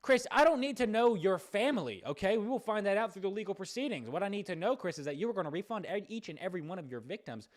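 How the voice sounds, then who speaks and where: A man's voice: high-pitched, lively intonation, fast talker, few pauses, voice pushed up. An American man playing a stern, bullying adult who officiously threatens a kid with a lawsuit in a prank call. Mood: indignant, threatening. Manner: officious, pressing, repetitive.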